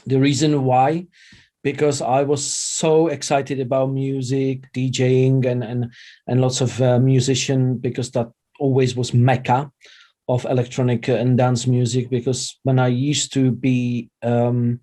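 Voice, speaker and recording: A middle-aged man speaks with a Czech accent at an average pitch. His tone is dark and relaxed, with little edge to it. He talks as a guest in a casual interview, in halting second-language English with broken phrasing. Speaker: interview guest, vegan chef and former radio presenter.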